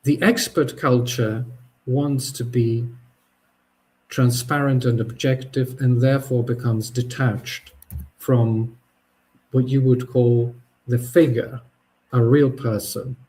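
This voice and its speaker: Man, native Polish speaker, mid-pitched, halting, reflective essay talk. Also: steady tone, darkish tone, low-quality recording. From Poland. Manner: thoughtful, halting, with frequent restarts and fillers. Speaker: podcast host.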